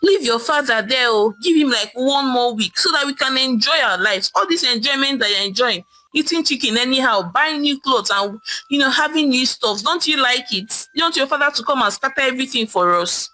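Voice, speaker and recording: A woman's voice: sits high, animated, fairly quick, few pauses, bright, forceful tone, pitched above the performer's own voice. A woman playing a nonchalant Nigerian wife. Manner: nonchalant, teasing. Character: carefree wife enjoying her husband's absence.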